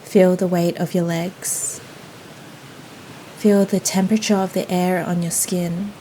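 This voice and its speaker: A woman leading a guided yoga nidra meditation in a soothing, gentle, unhurried manner. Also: lowish voice, poor audio. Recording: faint steady ocean noise behind. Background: ocean waves ambience.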